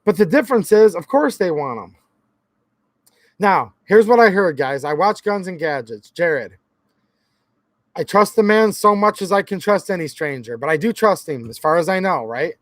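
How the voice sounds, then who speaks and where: Man, hoarse, high-pitched, earnest casual vlog talk, dry close sound. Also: animated, moderate pace, no echo. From Michigan. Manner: earnest, talks directly to viewers as guys, repeats himself. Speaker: gun channel host.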